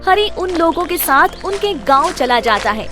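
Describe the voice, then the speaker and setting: A woman's voice: very high-pitched, very animated, moderate pace. A woman narrating a scripted children's story as its storyteller. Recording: loud music under the voice.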